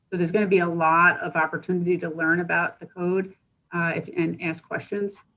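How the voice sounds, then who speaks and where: Female village planner, lowish even voice, matter-of-fact and halting, briefing colleagues over a video call. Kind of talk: informal meeting update over video call. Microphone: video call microphone.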